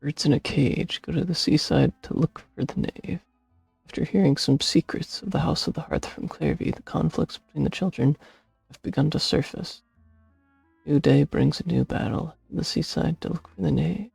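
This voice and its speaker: A man streams a video game in a heavily fried, very husky voice. He reads story text and chats casually, recorded dry and close with faint music behind. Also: fairly high pitch, normal speed, darkish tone, dry recording. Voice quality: gravelly, husky.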